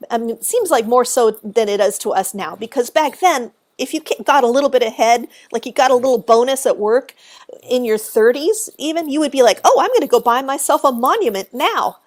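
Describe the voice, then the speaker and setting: A woman with a high voice, exuberant and chatty, explaining cemetery history as a guest on a live stream. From Texas.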